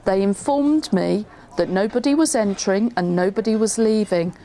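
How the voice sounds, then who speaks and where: A woman's voice: medium pitch, normal speed, dark tone, lightly acted. A woman playing a former trainee reporter, narrating her memories in a scripted radio drama. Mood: reminiscing. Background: music.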